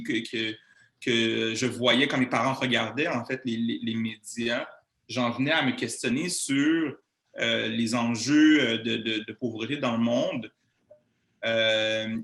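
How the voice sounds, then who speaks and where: A man in his thirties with a Québécois accent speaks at a middle pitch, taking his time. He stumbles over words and restarts his sentences often. His voice carries a noticeable room echo. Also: a bit bright. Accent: Québécois French. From Montreal, Canada. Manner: hesitant, many fillers and restarts. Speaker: guest speaker. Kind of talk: conversational interview answer.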